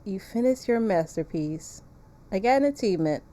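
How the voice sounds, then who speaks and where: A woman's voice: mid-range voice, animated, normal speed, mellow. A woman livestreaming a game, chatting casually in a playful, joking manner. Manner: playful, joking, crude humor. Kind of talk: casual game-stream chat and reading aloud.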